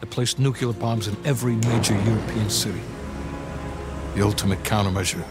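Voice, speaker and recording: An actor playing a hard-nosed, commanding intelligence officer in a game cutscene. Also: male voice, fairly low pitch, a little fast, loud music behind the voice, natural voice. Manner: curt, commanding. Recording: game cutscene with loud score.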